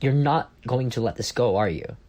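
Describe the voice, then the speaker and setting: A man playing an arrogant, sardonic office rival with a soft spot, in an intimate roleplay audio drama. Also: mid-range voice, normal speed, lightly acted. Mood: reluctant, softened. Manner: teasing, sardonic, stammers when caught off guard. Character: arrogant, bantering male office rival turned flustered admirer.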